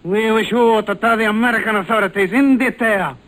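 High-pitched menacing saboteur. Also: male voice, a little fast, fairly bright tone. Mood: gloating. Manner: stiff, formal, over-precise diction. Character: menacing Japanese saboteur commander, male, coldly polite and gloating. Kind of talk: 1940s radio serial acting. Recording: noisy old radio drama recording.

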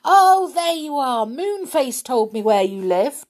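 Lady doing a loud batty old man from a kids book, high voice, swoops about, friendly and hard of hearing. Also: sing-song, slow, heavily acted. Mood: eager, obliging. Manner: loud, exclamatory, eager to oblige. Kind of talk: children's storybook character voice.

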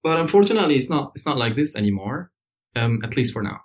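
Mann aus Syrien, nachdenklich erzählend. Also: male voice, mid-range voice, big pitch swings, fast talker. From Syria. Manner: hesitant, reflective, with frequent fillers. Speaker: interview guest. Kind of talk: conversational storytelling. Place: hotel room.

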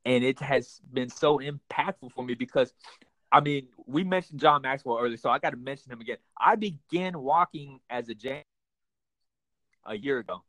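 A man's voice: mid-range voice, moderate pace, fairly bright tone, dry recording, low-quality recording. A young man from Houston, Texas, earnestly telling his life story as an interview guest, with many fillers and restarts. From United States, raised in Houston, Texas. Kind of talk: personal testimony in an interview.

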